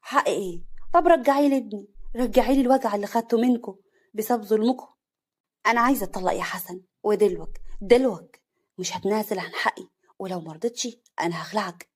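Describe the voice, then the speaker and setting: A woman's voice: a little high, steady tone, fast talker, darkish tone, close to an everyday voice. A woman voicing a grieving young wife, bitter and accusing, with an Egyptian accent. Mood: cold refusal. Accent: Egyptian Arabic.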